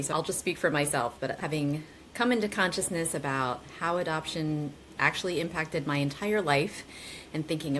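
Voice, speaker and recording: A woman's voice: low voice, slight background noise. A woman in her forties, a guest chatting casually and animatedly with rambling asides. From United States. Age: 40s. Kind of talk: casual conversation.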